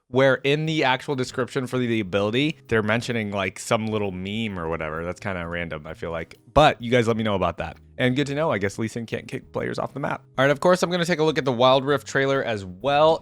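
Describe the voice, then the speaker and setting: A man giving casual gaming reaction commentary, reading aloud and commenting offhandedly as host. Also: medium pitch.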